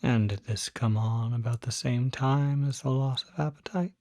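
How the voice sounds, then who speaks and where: A performer voicing a calm, attentive demon doctor in a soft-spoken ASMR roleplay, often whispering, with gentle, deliberate questioning. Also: mid-range voice, no echo, studio quality, lightly acted. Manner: slow, deliberate, gentle bedside questioning with pauses.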